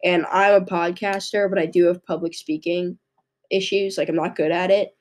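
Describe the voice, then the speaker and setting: A young woman with a cold and a hoarse voice, hosting a podcast and reading her notes in a halting way, often stumbling and restarting. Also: lowish voice, flat delivery, darkish tone. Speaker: podcast host. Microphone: microphone into a laptop.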